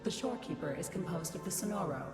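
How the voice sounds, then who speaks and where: Woman as a deep, echoing, cold computer system. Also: expressive, fast talker, lightly acted. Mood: cold, clinical. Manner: formal, emotionless, declarative. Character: cold, imperious female computer system defending its programming. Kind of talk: scripted video game voice acting. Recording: echoing, loud game music behind.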